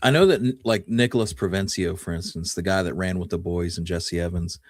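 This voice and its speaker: A man's voice: sits low, a little fast, crowd noise. A man co-hosting a podcast, telling history casually and thinking aloud as he goes. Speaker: podcast co-host. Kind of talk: casual history discussion and storytelling.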